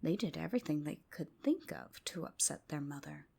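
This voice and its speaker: A woman narrating a folk tale as ASMR, with a soft, whispery, hushed bedtime delivery. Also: low voice, lively intonation, brisk, vocal fry, slightly rough. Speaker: storyteller. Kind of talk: ASMR storytelling, scripted narration.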